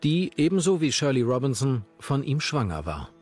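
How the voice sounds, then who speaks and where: Guy doing scripted true crime doc narration, normal pitch, bit of music behind. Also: big pitch swings, moderate pace, darkish tone. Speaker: documentary narrator. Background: background music.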